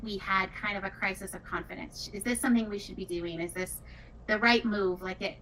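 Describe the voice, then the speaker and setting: A woman's voice: mid-range voice, steady tone, a little fast, a bit bright. A woman talking casually and earnestly as a game developer in an interview, reflecting as she goes. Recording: distant mic, faint background noise.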